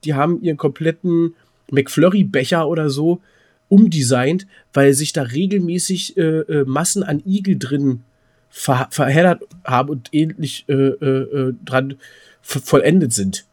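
A man's voice: on the high side, measured pace, a little mellow. A man chatting casually as a podcast co-host, in a joking, rambling manner. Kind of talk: casual chat.

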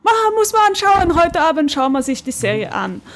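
A woman's voice: high voice, sing-song, moderate pace. A woman talking casually as a podcast co-host. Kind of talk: casual chat.

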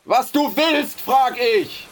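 An actor playing a cruel, gruff ship captain who barks orders in a radio drama. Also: male voice, very high-pitched, slow, a little hiss or hum. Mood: harsh. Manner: harsh, barking orders.

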